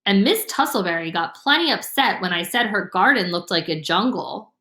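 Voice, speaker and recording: A woman's voice: medium pitch, expressive, normal speed, sharp tone. A woman voicing an earnest, blunt and troubled young country girl in a storybook, with a plaintive, folksy manner. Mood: downcast. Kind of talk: storybook character voice. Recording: roomy, echoing room sound.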